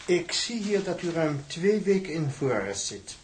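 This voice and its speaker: An actor playing a court-appointed defence lawyer, formal, polite and businesslike, in an audio drama. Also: male voice, fairly high pitch, very animated, moderate pace, slight background noise.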